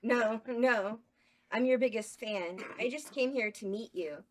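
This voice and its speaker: A woman's voice: a little high, a little slow. A woman voicing a gushing, nervous young superfan at a script table read, eager and pleading.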